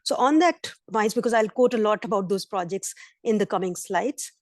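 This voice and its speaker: A hoarse woman presenting at a virtual conference session, earnest, with fillers and restarts. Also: medium pitch, moderate pace, no echo, clean studio sound. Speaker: invited speaker. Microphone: computer mic on video call.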